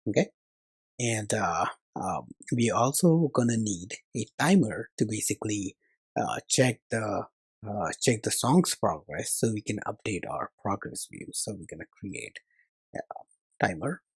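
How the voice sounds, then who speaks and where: A man's voice: fairly low pitch, animated, a little slow, breathy edge, dry recording. A man narrating a step-by-step coding tutorial as its instructor, hesitantly thinking aloud as he works.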